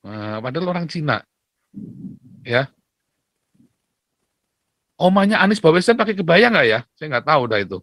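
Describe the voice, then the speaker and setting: A man talking casually as a host, opinionated and animated, mocking and fond of rhetorical questions. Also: fairly high pitch, moderate pace, pauses often, no room sound. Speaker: talk show host.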